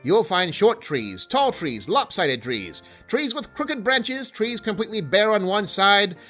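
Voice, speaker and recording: A man hosting a comedy sketch in the style of a mock infomercial, with a theatrical, sarcastic pitchman delivery. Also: big pitch swings, a little slow, sharp tone, soft background music.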